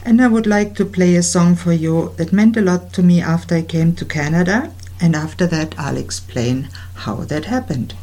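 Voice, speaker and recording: A middle-aged woman with a German accent, hosting a health show and introducing herself in a measured, careful way. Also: fairly low pitch, mellow. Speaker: radio show host. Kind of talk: scripted personal introduction.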